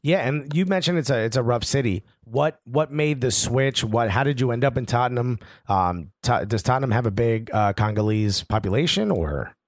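A man's voice: medium pitch, expressive, normal speed, hardly any pauses, no room sound, clean studio sound. A man co-hosting a soccer podcast, chatting casually and laughing often. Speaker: podcast co-host. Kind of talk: casual chat.

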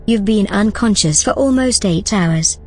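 A woman's voice: medium pitch, soft background music, lightly acted. Composed female AI, played by an actress. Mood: calm, reasoning. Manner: composed, measured, formal. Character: calm, principled female artificial intelligence. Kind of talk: audio drama acting.

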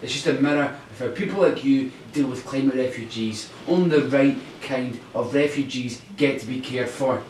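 A man plays a resentful outsider in an audio drama, sardonic and accusing. His mid-pitched voice is a little distant. Faint noise and room echo surround it. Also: moderate pace, few pauses, darkish tone, close to an everyday voice. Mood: bitter sarcasm. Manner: sarcastic, accusing. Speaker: actor in an audio play. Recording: roomy, distant, faintly noisy recording.

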